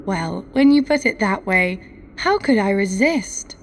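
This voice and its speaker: An actress in an audio drama playing a cocky, foul-mouthed adventurer, mocking and sarcastic. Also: female voice, mid-range voice, expressive, a little slow, a little hiss or hum.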